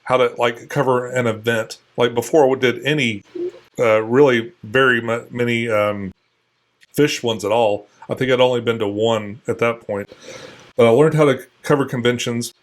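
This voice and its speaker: A man in his forties, a guest on an interview show, answering in a relaxed, hesitant way with many fillers. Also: medium pitch, moderate pace. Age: 40s. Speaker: guest, longtime video maker. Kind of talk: casual interview chat.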